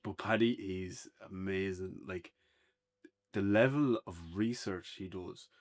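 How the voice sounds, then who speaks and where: A man's voice: deep voice, steady tone, takes it slowly. An Irish man co-hosting a casual, unscripted review podcast, talking in an informal, conversational way.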